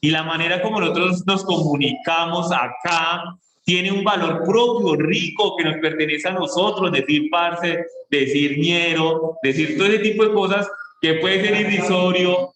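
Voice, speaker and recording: A Colombian man giving a lecture as a teacher, explaining slowly and stretching key words. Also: high-pitched, a bit bright, roomy sound, low-quality recording. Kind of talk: online class lecture.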